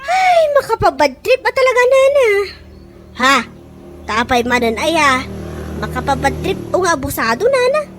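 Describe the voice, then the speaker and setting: A woman's voice: extremely high pitch, wildly swooping pitch, moderate pace, pauses often, music in the background, heavily acted. A woman with an Ilocano accent playing an exasperated boarding-house resident who grumbles about a freeloading friend in a comedic radio drama. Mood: annoyed, ranting. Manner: grumbling, complaining, exclamatory.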